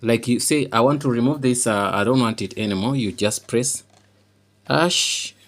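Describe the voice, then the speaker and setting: A man giving an informal, unscripted how-to demonstration, halting and repeating himself as he explains each step. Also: mid-range voice. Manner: halting and repetitive, often checking understanding. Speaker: tutorial presenter.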